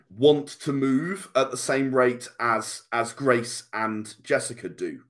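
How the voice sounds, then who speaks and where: A man speaking as an interview guest, explaining and storytelling conversationally, halting and repeating words as he goes. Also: medium pitch. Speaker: podcast guest, comic writer.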